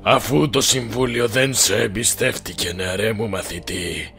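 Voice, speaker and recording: Creepy old sith master, dub, croaky and low, slow menacing manipulator, music behind. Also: male voice, fairly bright tone. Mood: commanding, scheming. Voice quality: gravelly, croaking. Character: sinister old male Sith lord, manipulative, commanding master. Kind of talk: dramatic film fan dub acting.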